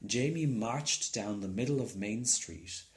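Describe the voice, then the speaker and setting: A man's voice: fairly low pitch, a little slow. A man narrating a children's storybook as a scripted reading. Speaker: storybook reader.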